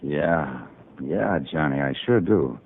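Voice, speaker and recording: Tinny old radio, real deep croaky sidekick, earnest and kind of amazed, 50s drama. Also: male voice, expressive, slow, a little hiss or hum, exaggerated voice. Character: earnest, gravelly male sidekick, astonished by a crime. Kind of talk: 1950s radio drama acting.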